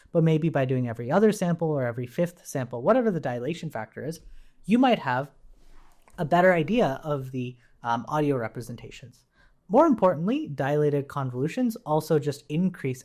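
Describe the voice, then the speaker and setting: A man giving an informal coding tutorial, explaining step by step in a conversational way and often checking in with a quick "right?". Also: fairly high pitch, darkish tone. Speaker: programming instructor.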